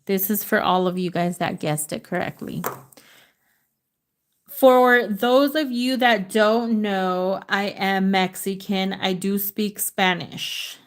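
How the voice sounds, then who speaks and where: Brief: unhurried woman livestreamer, middle pitch, drawn-out casual delivery, reads letters aloud to chat. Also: smooth. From United States. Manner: slow, drawn-out letter reading, switches into Spanish. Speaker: livestream host running a word game. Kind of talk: casual live chat with viewers.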